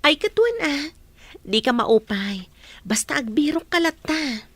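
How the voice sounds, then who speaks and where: Woman acting high-pitched young woman, gentle, sympathetic, encouraging, very dry recording, radio drama. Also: animated, a little slow, dry recording. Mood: friendly. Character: caring, encouraging young working woman, friend of the hero.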